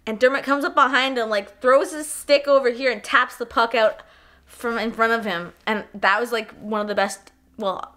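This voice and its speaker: A young woman vlogging about a hockey game, her voice bright and a little stuffy from a cold. She talks in an excitable, animated way and drops casual swears as she tells the story. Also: medium pitch. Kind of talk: casual game review vlog.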